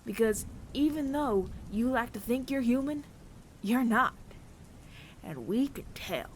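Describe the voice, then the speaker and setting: A man playing a menacing, world-weary bounty hunter of androids in an ASMR audio roleplay, taunting the listener with deliberate pauses and often sinking to a near whisper. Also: high voice, creaky, noisy background, heavily acted. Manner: slow, deliberate, taunting, with long dramatic pauses.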